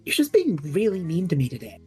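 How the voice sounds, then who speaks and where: A woman's voice: on the low side, wildly swooping pitch, fast talker, dark tone, faint music behind. A woman voicing a cocky, careless male student in an audio drama, casual and flippant. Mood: sheepish.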